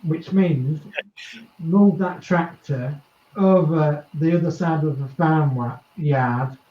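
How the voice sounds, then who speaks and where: A man's voice: a little high, slow pace, reverberant, lo-fi sound. A man from East Yorkshire with a Yorkshire accent, hosting a casual group chat and rambling, with small chuckles between thoughts. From East Yorkshire, England. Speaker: host of a model-building chat.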